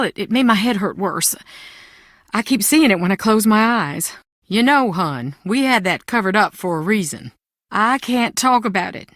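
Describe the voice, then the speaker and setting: A middle-aged mother for a video game. She is proud and guarded, with an anxious edge and a theatrical, highly expressive delivery. The voice is bright and forceful, recorded very dry. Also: no room sound. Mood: uneasy, weary. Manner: defensive, theatrical, emotionally expressive.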